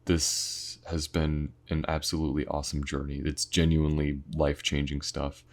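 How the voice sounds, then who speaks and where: A man who creates audio series, talking informally and earnestly to his viewers in a casual, halting thank-you address. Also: rumbling low, a little mellow.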